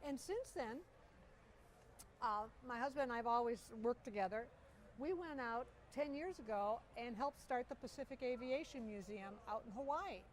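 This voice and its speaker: A middle-aged woman telling stories with animated enthusiasm as a guest in an interview, recorded at an outdoor air show. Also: on the high side, normal speed, a little hiss or hum, low-quality recording. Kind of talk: storytelling interview.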